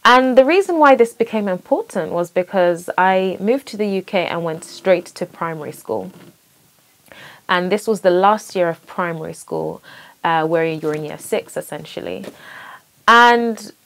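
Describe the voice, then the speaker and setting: A woman's voice: lowish voice, a little slow, gravelly. A young woman born in Sweden, hosting and speaking thoughtfully and earnestly about her identity, with small restarts. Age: young adult. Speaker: host. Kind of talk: reflective personal monologue.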